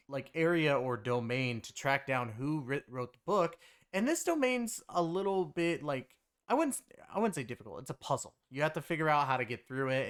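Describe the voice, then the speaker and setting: Man, slightly high voice, casual game story recap, conversational, rambling, emphatic. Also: fairly bright tone. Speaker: game streamer and story reviewer.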